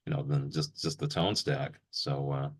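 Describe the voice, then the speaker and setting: A man's voice: rumbling low, flat delivery, normal speed, breathy edge. A man hosting a gear show, chatting casually and agreeably as an interviewer and often repeating his phrases.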